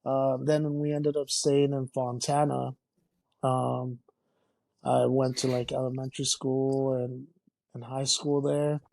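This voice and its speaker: A man's voice: mid-range voice, takes it slowly, vocal fry, rough recording. A man from Mexico, a podcast guest, talking casually with many fillers and curse words. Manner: relaxed, swearing, lots of fillers. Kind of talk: casual chat.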